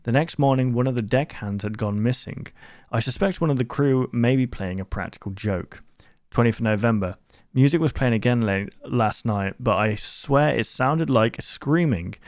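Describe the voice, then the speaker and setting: A man playing a grave investigator in scripted analog horror narration, formal, measured and ominous. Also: medium pitch, darkish tone, dry recording, clean studio sound, lightly acted. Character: grave investigator reading a doomed ship's log.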